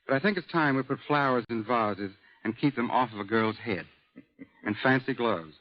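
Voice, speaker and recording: Guy guesting on an old radio show, measured and matter of fact, muffled dull sound. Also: medium pitch, animated. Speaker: guest expert. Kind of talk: scripted radio interview.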